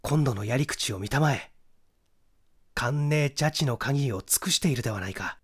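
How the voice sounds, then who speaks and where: Man acting young male detective, medium pitch, methodical, assured, deliberate emphatic explanation, mystery audiobook. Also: husky, natural voice. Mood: grave.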